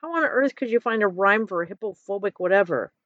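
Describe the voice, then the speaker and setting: A woman's voice: medium pitch, expressive, a little fast, no echo, phone-quality sound, natural voice. A woman voicing a decisive, patient, strong construction worker man in a story, firm and matter-of-fact. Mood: exasperated.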